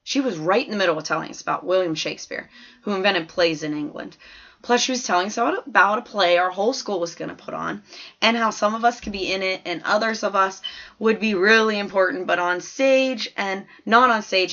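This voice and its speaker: A woman's voice: mid-range voice, a little fast, a bit bright, close to an everyday voice. A woman voicing a plain-spoken, observant fourth-grade girl who tells her own story in a chatty, folksy, emphatic way. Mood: chatty, matter-of-fact. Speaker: audiobook narrator. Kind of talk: scripted first-person story narration. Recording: slightly dull, lo-fi audio.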